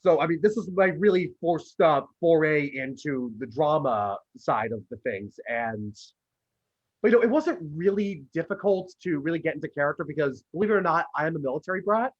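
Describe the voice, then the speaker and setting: A man's voice: a little high, expressive, a little fast. A man, an actor, chatting casually as an interview guest, heard through dull video call audio. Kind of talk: casual, conversational interview answers.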